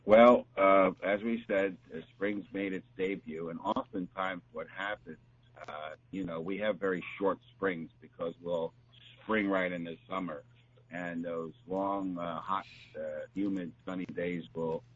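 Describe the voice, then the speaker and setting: Deep-voiced man on a dull, noisy recording. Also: fairly even intonation. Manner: halting and repetitive. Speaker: doctor giving health advice on a talk show. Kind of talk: conversational medical explanation. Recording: dull, noisy line with hum.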